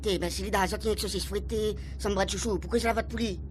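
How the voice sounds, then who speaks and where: Skeptical human traveler, quick and blunt, Réunionese Creole accent; woman in the role. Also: mid-range voice, fairly even intonation, a little mellow, a little hiss or hum, close to an everyday voice.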